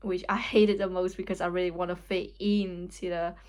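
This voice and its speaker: A woman's voice: lowish voice, level tone, normal speed, darkish tone. A young woman from Hong Kong with a Hong Kong English accent, Cantonese her first language, talking candidly and hesitantly in a casual vlog over a weak mic. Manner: candid, hesitant, many restarts. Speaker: vlogger. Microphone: weak mic, words hard to catch.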